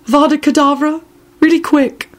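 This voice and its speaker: Woman doing embarrassed teen boy in a story, high voice, blurty and indignant. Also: level tone, moderate pace, lightly acted. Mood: mortified. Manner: blurting, shouting.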